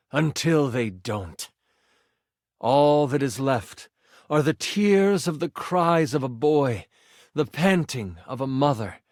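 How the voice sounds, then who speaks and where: A man's voice: a little high, no echo, clean studio sound. A man narrating as game master in a dramatic, theatrical, suspenseful fantasy storytelling style.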